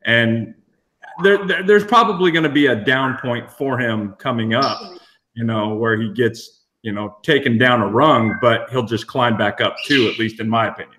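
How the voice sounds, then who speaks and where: A man's voice: mid-range voice, moderate pace, sharp tone, a little hiss or hum, poor audio. A man giving his opinion as a guest in a casual discussion. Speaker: podcast guest.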